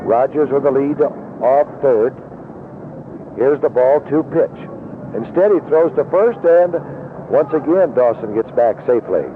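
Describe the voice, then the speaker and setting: A man's voice: mid-range voice, a little slow. Noisy old radio baseball announcer, man. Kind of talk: live sports commentary. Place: outdoor ballpark broadcast booth. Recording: noisy narrow-band 1970s AM radio broadcast.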